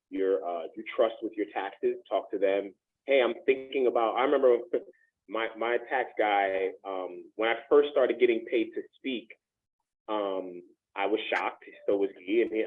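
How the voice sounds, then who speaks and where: Chatty male host on a tinny line. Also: on the low side. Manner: chatty, friendly, laughs while telling asides. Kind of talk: hosting a networking call.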